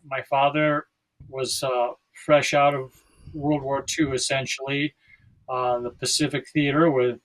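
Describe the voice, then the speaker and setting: A man's voice: medium pitch, flat delivery, measured pace, rough recording. An American man in his sixties from South Dakota, talking casually and reflectively as a podcast guest, often searching for his words. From South Dakota, United States.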